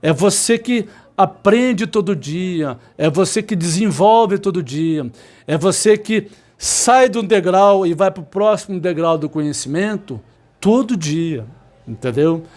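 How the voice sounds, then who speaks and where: A man's voice: high voice, animated, a little mellow. A man, an electronics instructor giving a motivational talk to students, repeating his points and stressing words insistently. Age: 50s. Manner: repetitive, emphatic, insistent, with sudden slow stressed words.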